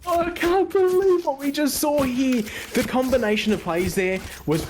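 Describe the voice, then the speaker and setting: A man's voice: very high voice, normal speed, darkish tone, a little hiss or hum. A man casting esports, exclaiming at plays and then breaking them down. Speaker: esports color caster. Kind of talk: excited esports commentary.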